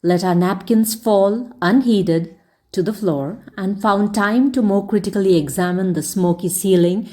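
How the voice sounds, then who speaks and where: A woman storyteller reading a story aloud in a measured, expressive, audiobook-style narration. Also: medium pitch.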